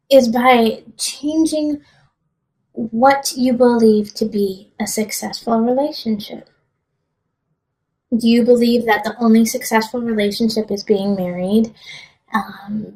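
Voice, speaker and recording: A woman with a fairly high voice gives a tarot reading in a conversational style. She addresses the listener directly and offers encouraging advice with emphasis. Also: darkish tone, low-quality recording. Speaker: tarot reader.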